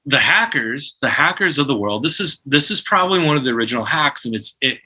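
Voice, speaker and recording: A man in his forties, a guest musician in a casual interview, telling an animated story full of restarts and imitated phone tones. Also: medium pitch, fairly quick, breathy edge.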